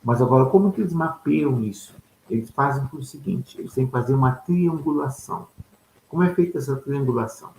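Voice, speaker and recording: Man, lecturer, mid-pitched gravelly voice, asks and answers own questions, roomy echo. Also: brisk, a little mellow. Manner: poses rhetorical questions and answers them; checks in with listeners with a tag "tá?". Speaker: ufology lecturer. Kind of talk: explanatory lecture. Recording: echoey room with faint background noise.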